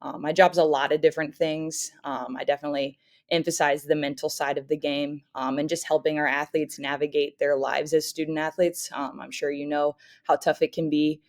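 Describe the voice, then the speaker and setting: A young American woman raised in the Midwest, a softball coach talking casually and cheerfully as a podcast guest, with frequent "um" fillers. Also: deep voice, level tone, brisk, few pauses. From United States (grew up in St. Louis, Missouri). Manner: friendly, upbeat, frequent "um" fillers. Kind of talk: casual interview chat.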